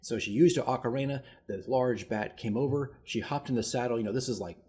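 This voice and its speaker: Husky man rambling through a story. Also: medium pitch, brisk, mellow. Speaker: dungeon master keeping a campaign diary. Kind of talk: casual solo storytelling recap.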